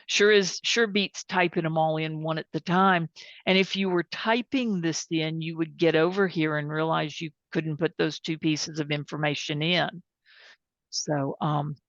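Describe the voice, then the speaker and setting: A plainspoken woman presenting an informal software demonstration and taking questions, candid about what she does and doesn't know. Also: low-pitched, no echo. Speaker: presenter and tech support. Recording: dull, band-limited sound.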